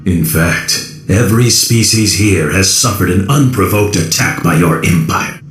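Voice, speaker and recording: A man playing a solemn, proud alien rebel leader in a video game, speaking in measured, formal words with grave weariness. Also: low voice. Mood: bitter grief. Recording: echoing voice under loud game music.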